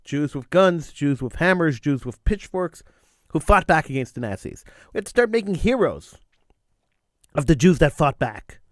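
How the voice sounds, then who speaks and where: A middle-aged man with a fairly high voice delivers a fervent talk radio monologue. He hammers his points by repeating phrases, and a slight cold wears on his voice. The studio microphone sound is dry and close. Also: dry recording. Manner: emphatic, repeats phrases for stress. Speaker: radio talk show host.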